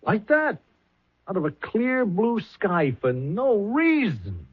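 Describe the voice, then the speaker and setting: A gruff, evasive factory boss. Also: male voice, sits high, wildly swooping pitch, slow pace, full character voice. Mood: defensive. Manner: evasive and rough-spoken. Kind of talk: 1970s radio drama acting. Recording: tinny old radio broadcast.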